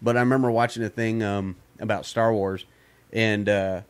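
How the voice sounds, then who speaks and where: A man's voice: fairly low pitch, fairly even intonation, moderate pace, clean tone. A man co-hosting a casual podcast, rambling with many fillers and casual swearing. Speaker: podcast co-host.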